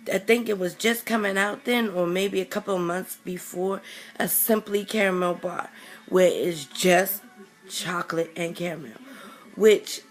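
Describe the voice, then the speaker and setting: A young woman vlogging casually with a raspy voice from a chest cold, rambling with many ums and self-corrections. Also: mid-range voice. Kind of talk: casual chatty vlog.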